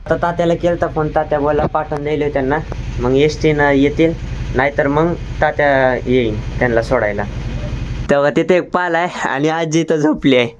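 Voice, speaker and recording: A man's voice: normal speed, dull audio. A young man with a rural Marathwada accent, chatting casually as he vlogs his day. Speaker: vlogger. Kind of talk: casual vlog chat. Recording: noisy outdoor vlog, traffic rumble.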